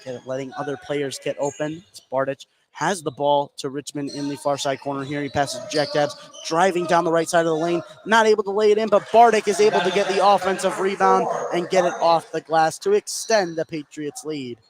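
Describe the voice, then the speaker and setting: An American man doing live play-by-play basketball commentary for radio, excitable, narrating the action in short running phrases. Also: fairly high pitch, brisk, hardly any pauses, a crowd in the background. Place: indoor gym at a basketball game. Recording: noisy live sports broadcast.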